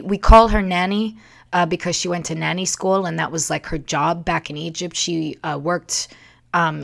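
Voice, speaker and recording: A woman hosting a casual livestream in a smoky, worn day-after voice, rambling haltingly through anecdotes. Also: low-pitched, creaky. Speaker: livestream host.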